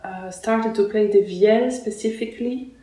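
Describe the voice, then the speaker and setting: A woman born in Russia, a guest musician being interviewed, speaking haltingly with many fillers and restarts. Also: medium pitch, dark tone. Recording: roomy echoey room.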